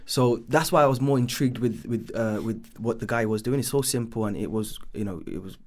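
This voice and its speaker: A man's voice: medium pitch, brisk, a little mellow. A man in his thirties with a London accent, raised there after being born in Bangladesh. He tells his life story on a podcast in a rambling, casual way, full of ums and "should I say". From born in Bangladesh, raised in East London. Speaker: business founder guest.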